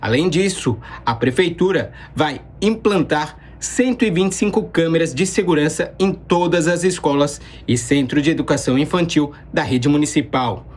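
A man, reporting the news in a measured, formal voice-over. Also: a little high. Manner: measured, formal newsreader delivery.

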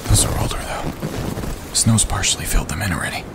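Tired expedition man, understated, dry, terse, deep creaky voice, audio drama, noisy ambience. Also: fast. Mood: wary, hushed. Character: weary, dry, practical man on a winter wilderness expedition. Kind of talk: naturalistic audio drama acting.

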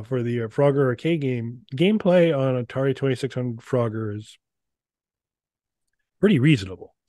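A man's voice: medium pitch, warm tone, no echo. A middle-aged man hosts in a casual, unscripted way. He rambles and stops and starts with a wry touch. The sound is dry and close, with little room in it. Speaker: co-host of a retro gaming show. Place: small indoor room.

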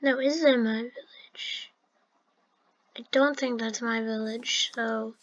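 A woman's voice: fairly high pitch, fairly even intonation, slow, muffled recording. A woman giving casual, chatty commentary over her own gameplay, with fillers and restarts. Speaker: gameplay narrator.

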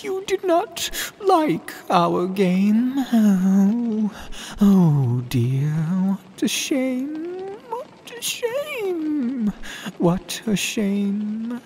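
A man voicing a sly, sinister cat in a storybook, with an unhurried, drawn-out, lilting delivery. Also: sits high, very animated, a little mellow, a little hiss or hum, pitched above the performer's own voice, heavily acted. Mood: mock sorrow. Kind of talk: storybook read-aloud.

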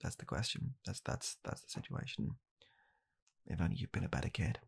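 A young British man in a hushed, halting, deadpan comedic ASMR roleplay, playing a bitter, weary father coldly blaming his child. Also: low-pitched, creaky, husky. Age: young adult. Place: small home room, indoors.

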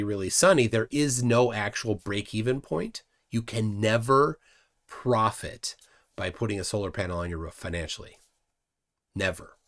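A middle-aged man hosting a casual livestream from a room at home, scornful and animated, often trailing off quietly. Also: mid-range voice. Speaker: host.

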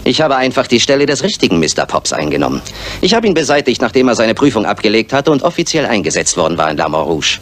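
Lively-voiced, coolly menacing killer. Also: male voice, lowish voice, quick pace. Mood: coolly defiant. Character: escaped convict killer posing as a village postman. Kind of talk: German radio crime drama acting. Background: music.

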